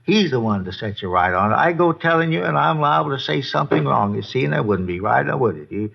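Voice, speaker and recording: A man's voice: mid-range voice, fast talker, gravelly. An actor playing a folksy, boozy older American man who rambles and repeats himself in an old radio drama. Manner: rambling, repetitive, folksy, excitable. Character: rambling, boozy, folksy male neighbor witness. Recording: dull, lo-fi old radio recording.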